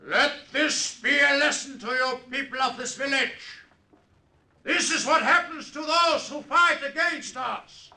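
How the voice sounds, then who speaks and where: An actor playing a ruthless Nazi officer, barking menacing orders in a declamatory 1940s radio style. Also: male voice, very high voice, unhurried, bright, forceful tone, full character voice. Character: ruthless Nazi officer commanding a firing squad. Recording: echoey vintage radio recording.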